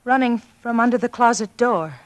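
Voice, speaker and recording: An actress playing a frightened young American wife in 1940s radio suspense, hesitant and hushed. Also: female voice, on the high side, moderate pace, no echo, close to an everyday voice. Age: young adult. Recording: faintly noisy vintage radio broadcast.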